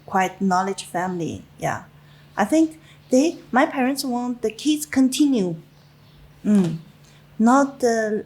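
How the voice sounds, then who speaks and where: A middle-aged Chinese woman, Chinese her native language, speaking English with a Chinese accent, recalling her youth in an interview in halting phrases with many fillers and small laughs. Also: mid-range voice, darkish tone, low-quality recording. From China. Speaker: interviewee, winemaker. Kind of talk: personal reminiscence in an interview.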